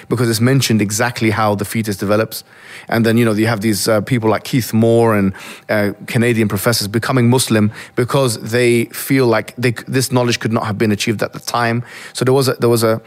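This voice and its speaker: Young man from Egypt, interview guest, earnest and fast, keeps restarting. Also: medium pitch. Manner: earnest, reflective, with many restarts. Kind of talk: interview conversation.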